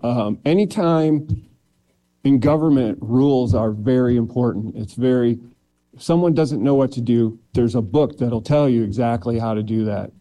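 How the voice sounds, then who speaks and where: Plainspoken male candidate at a public forum, deep dark tone, sincere with a dry joke now and then. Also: normal speed, rough recording. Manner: earnest and plainspoken, with light joking. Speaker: county clerk candidate.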